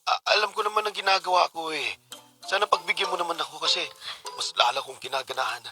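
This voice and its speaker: A man's voice: on the high side, fast talker, pushed and bright, soft background music. A man playing a lustful, pleading boyfriend who stammers and exclaims in a comic radio-style drama.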